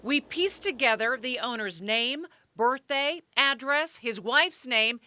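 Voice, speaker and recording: A woman's voice: sits high, takes it slowly, bright, forceful tone. An American woman delivers consumer news as a television reporter. She moves between scripted report and studio explanation, addressing viewers in a broadcast style.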